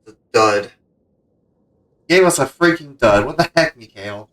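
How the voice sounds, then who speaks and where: A man's voice: medium pitch, expressive, measured pace, a bit bright. A man streaming a story game, reading text aloud and tossing in casual reactions. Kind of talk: dramatic read-aloud mixed with casual reactions.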